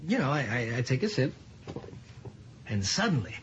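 An actor playing an anxious, excitable patient in a comic ad, confiding haltingly and earnestly to a doctor. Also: mid-range voice, heavy background noise, lightly acted. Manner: halting, earnest, building to urgency. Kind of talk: comic ad acting.

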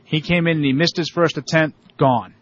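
A man's voice: fairly high pitch, steady tone, normal speed, dry recording, highs cut off. A middle-aged man co-hosting a sports podcast in casual chat, reeling off old football history and statistics. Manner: reels off old stats and history.

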